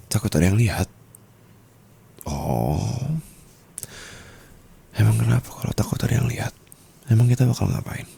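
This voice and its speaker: Rich, dominant sugar-daddy husband , played by a man for romantic ASMR roleplay: slow, husky, dark murmur, teasing and doting. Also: low-pitched, heavily acted. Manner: slow breathy murmur with soft chuckles, teasing.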